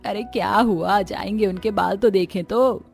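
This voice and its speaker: A woman's voice: mid-range voice, steady tone, a little slow, vocal fry, darkish tone, soft background music. A woman playing chatty young village women in a cartoon story. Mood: curious.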